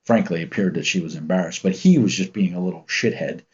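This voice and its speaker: A man in his fifties from the United States with a deep, commanding voice, chatting casually on his livestream and telling stories in a rambling, relaxed way. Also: fast talker, breathy edge. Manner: rambling, relaxed, with many ums and restarts. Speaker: channel host reading live chat. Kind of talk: casual livestream chat and storytelling. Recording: muffled lo-fi stream audio.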